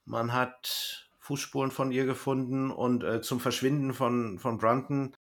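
A man's voice: mid-range voice, little pitch movement, a little slow. A man speaking informally as a podcast host in casual review chat, with a dry, joking manner.

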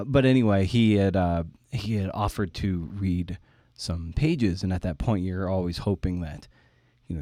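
Guy in his 40s doing podcast chat, real deep voice, mellow, kinda rambly and self-deprecating. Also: dry recording, clean studio sound. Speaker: podcast host and writer. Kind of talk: conversational interview with personal anecdote.

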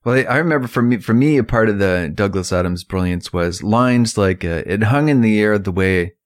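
A man's voice: on the low side, normal speed. A man co-hosting a podcast, talking casually with an enthusiastic, admiring manner. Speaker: podcast co-host.

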